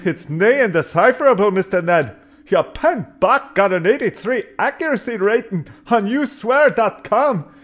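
A man voicing a gruff male ship's engineer in a comedy audio drama, with a thick folksy brogue. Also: high voice. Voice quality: burring folksy brogue, says aye and wee.

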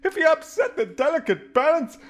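An actor playing a fussy, grumbling starship engineer with an in a sci-fi parody audio drama. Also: male voice, extremely high pitch, big pitch swings, brisk. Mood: fussy, protective.

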